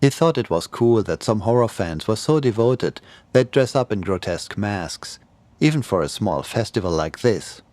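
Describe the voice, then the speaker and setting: A man's voice: on the low side, expressive, normal speed, a little mellow, no room sound. A man reading a scripted horror story as its narrator.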